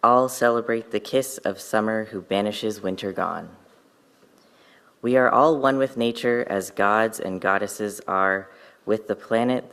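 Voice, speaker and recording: A man's voice: on the low side, vocal fry, a bit bright, clean tone. A man reading a poem aloud as a reader at a church service. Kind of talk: poetry reading.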